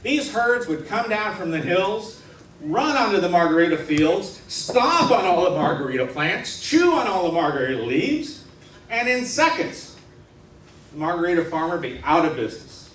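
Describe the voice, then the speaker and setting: A man telling a comic tall tale on stage in a theatrical, mock-serious way with dramatic pauses. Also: sits high, sing-song, a bit bright. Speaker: humorous contest speaker. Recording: roomy hall, distant mic, faint noise.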